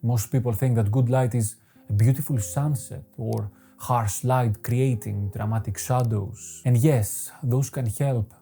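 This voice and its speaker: Man, very mellow dark tone, measured scripted narration, soft guitar music behind. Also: medium pitch, fairly even intonation. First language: Greek. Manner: measured, poetic, deliberate phrasing. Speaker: photography channel host. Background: faint guitar music.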